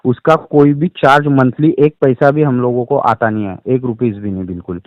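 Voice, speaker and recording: A man chats casually on a recorded phone call, placating and repeating himself. His voice is mid-pitched, with a dull, muffled sound and little room echo. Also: steady tone, moderate pace. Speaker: car owner being questioned by a reporter.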